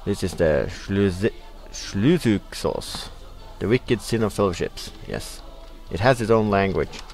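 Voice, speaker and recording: Young Norwegian man, low voice, halting casual game commentary, faint music bed. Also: lively intonation, darkish tone. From Norway. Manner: hesitant, many uh fillers, reading in-game text aloud. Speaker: let's play host.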